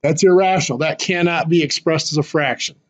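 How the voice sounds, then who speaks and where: A male teacher giving a math lecture in class, hoarse and clearing his throat as he loses his voice, explaining step by step with fillers and restarts. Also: a little high, brisk, muffled recording.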